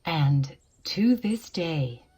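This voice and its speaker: Slow, theatrical woman storyteller with animated pitch. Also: faint music behind. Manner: theatrical, suspenseful, with deliberate dramatic pauses. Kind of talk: dramatic scripted storybook narration.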